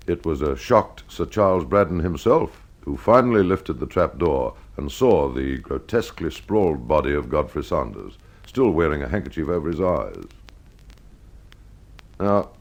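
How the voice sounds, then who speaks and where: A man narrating an old radio mystery in a genial, wry storytelling manner. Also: very deep voice.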